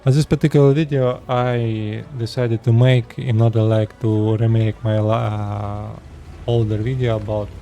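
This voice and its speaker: A 36-year-old man from Russia with a Russian accent, speaking halting second-language English as he talks casually to his viewers. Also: on the low side, little pitch movement, mellow, highs cut off. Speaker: vlogger. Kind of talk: casual personal talk to camera.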